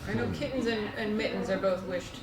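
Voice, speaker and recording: A woman with a medium-pitched voice chats casually. The sound is roomy, with clear echo around her. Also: a little fast, over a noisy crowd. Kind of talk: casual chat. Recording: roomy, echoey, off-mic sound.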